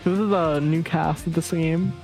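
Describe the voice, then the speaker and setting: A woman's voice: deep voice, steady tone, normal speed, darkish tone, faint music behind, low-quality recording. A woman streamer chatting casually and reading game text aloud on a livestream.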